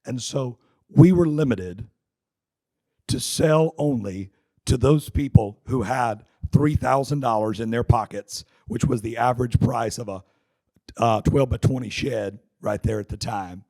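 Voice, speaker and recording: Hoarse, raspy man. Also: medium pitch, unhurried, mellow. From North Carolina. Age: middle-aged. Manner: folksy, joking, chatty. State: hoarse with laryngitis. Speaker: seminar speaker. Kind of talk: conference seminar talk. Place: conference hall. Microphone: handheld mic.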